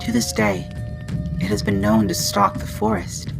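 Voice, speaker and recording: A woman playing a friendly, soft-spoken female wendigo in an ASMR storytelling roleplay. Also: mid-range voice, sing-song, a little slow, creaky, full character voice. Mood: grave. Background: music.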